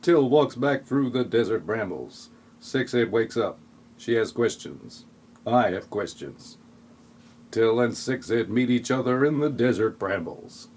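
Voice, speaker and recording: A man's voice: medium pitch, expressive, measured pace, a little mellow, a little hiss or hum. A man narrating a scripted comic audio play in a mock-dramatic, tongue-in-cheek way.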